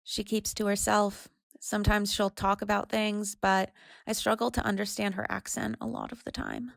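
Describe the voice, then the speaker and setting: A woman's voice: mid-range voice, little pitch movement, moderate pace, vocal fry, fairly bright tone, dry recording. An actress playing a troubled, candid woman in her twenties, confessing in a subdued way in an audio drama. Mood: reflective, confessional. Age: 20s. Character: troubled, candid young woman recovering from anorexia. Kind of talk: audio drama monologue and dialogue.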